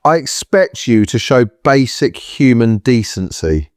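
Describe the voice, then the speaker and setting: An actor playing a decent, weary, protective husband in an audio drama, firm and earnest. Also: male voice, medium pitch, sing-song, unhurried, dry recording, studio quality. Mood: firm, angry.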